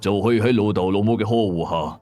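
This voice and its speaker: Man voicing a low, grave exorcist father. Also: quick pace, warm tone, faint music behind, close to an everyday voice. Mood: grave, knowing. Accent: Hong Kong. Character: grave, probing older father, a ghost exorcist.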